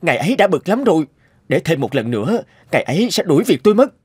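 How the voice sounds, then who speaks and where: Anxious, high-pitched young male office assistant. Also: big pitch swings, fast, a little mellow, slightly rough, dry recording, studio quality. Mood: anxious pleading. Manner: deferential, imploring. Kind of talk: cartoon voice acting.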